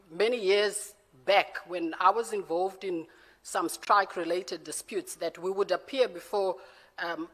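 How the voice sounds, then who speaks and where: Woman in her 40s from zimbabwe, lowish voice, careful formal answers, long reverb in the room. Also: a bit bright. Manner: measured, careful, self-correcting. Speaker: judicial candidate being interviewed.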